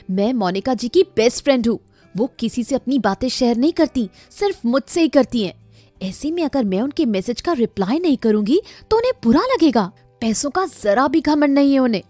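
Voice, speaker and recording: A woman performs a young housewife hungry for luxury in a moral storybook tale. The voice is high and swooping, with a petulant, dramatic manner, over faint music. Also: very animated, moderate pace, warm tone, no room sound. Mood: irritated, boastful. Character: whiny, vain young housewife craving luxury.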